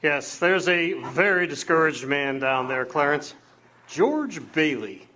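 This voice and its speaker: An actor playing a kindly, authoritative chief of angels who briefs his helper calmly and fatherly. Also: on the high side, slow pace, heavily acted. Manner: calm, fatherly, instructive. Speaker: radio theatre actor. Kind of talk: live amateur radio drama acting.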